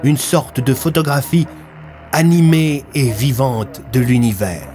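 A young adult man narrates scripted fiction in a dramatic storytelling style. His voice sits at a medium pitch, with soft music running underneath. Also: unhurried. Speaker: narrator of an audio fiction series.

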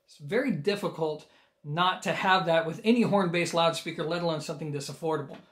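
A man in his thirties with an American accent and a fairly high-set voice. He talks to the camera as a casual reviewer, explaining things with clear emphasis on key points. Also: brisk, fairly bright tone. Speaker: audio gear reviewer.

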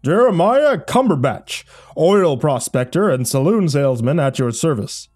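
A man's voice: on the high side, very animated, measured pace, a little mellow, no echo, soft background music, pitched above the performer's own voice. A man voicing a goofy, impulsive buddy in an audio story, speaking with exaggerated, emphatic earnestness. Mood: playful. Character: goofy, excitable, impulsive male buddy, boastful jokester.

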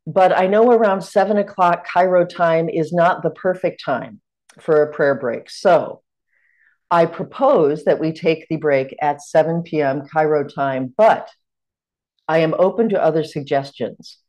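A middle-aged American woman, a stage actor, welcoming participants and leading an online teaching session over a video call in a measured, welcoming way. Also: low-pitched, a little mellow. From United States. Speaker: teaching workshop facilitator. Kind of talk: online lecture.

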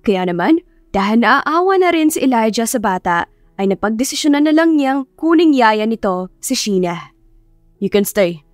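A woman narrating a scripted romance audiobook in a steady, measured reading. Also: fairly high pitch, no echo, clean studio sound, soft background music.

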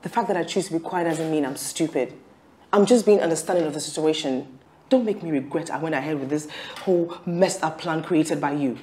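Sharp-tongued, defiant scheming wife played by an actress. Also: female voice, low-pitched, a little fast, a little hiss or hum, natural voice. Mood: bitter, reproachful. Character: defiant, calculating wife and mother scheming for money. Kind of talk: screen drama dialogue.

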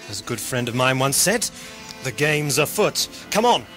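A man playing an erudite, excitable time-travelling adventurer in an audio drama. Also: mid-range voice, normal speed. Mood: intrigued. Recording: loud music and effects behind.

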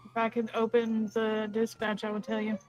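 A woman with a fairly high voice banters casually during a game. She is teasing and a bit sweary, with faint noise behind her. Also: monotone, moderate pace, darkish tone, low-quality recording. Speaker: role-play gaming partner. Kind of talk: casual banter while gaming.

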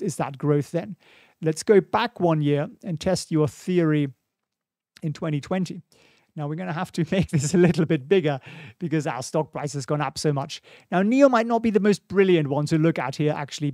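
German guy chatting through stock charts live, kinda high voice, dry close mic. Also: darkish tone, no echo. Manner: chatty, thinks aloud, reads viewer questions. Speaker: stock market livestream host. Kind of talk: casual live market commentary. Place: small home studio, indoors. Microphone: studio mic on boom in front of face.

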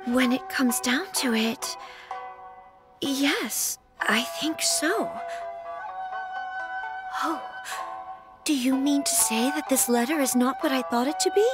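A high, girlish-pitched voice of a young noblewoman in a video game. She is formal and gracious, yet hesitant and anxious under family duty. Music plays prominently behind her. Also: animated, slow pace, pauses often. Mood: puzzled, uneasy.

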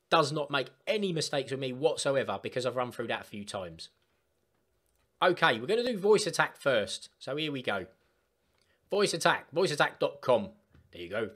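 A man presenting an informal step-by-step software tutorial in an emphatic, instructional way. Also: a little high. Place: voice-over for screen recording.

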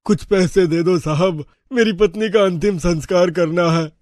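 A man's voice: high-pitched, moderate pace, darkish tone, close to an everyday voice. A man voicing a humble, sorrowful father in a cartoon moral story, subdued and earnest. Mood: sad pleading. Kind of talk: animated Hindi moral story acting.

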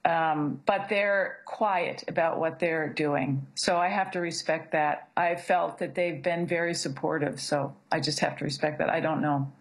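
A woman's voice: low-pitched, steady tone, a little slow, hardly any pauses, low-quality recording. A middle-aged woman, a physician assistant, speaking earnestly in a news interview, often restarting her sentences. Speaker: physician assistant, abortion provider.

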